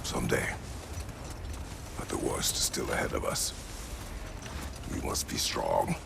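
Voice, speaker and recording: An actor playing a stern, battle-hardened warrior father in a video game, gravelly and terse with weighty, formal phrasing. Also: extremely low pitch, unhurried, exaggerated voice. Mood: grave, restrained. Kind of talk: cinematic video game acting. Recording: loud action noise behind voice.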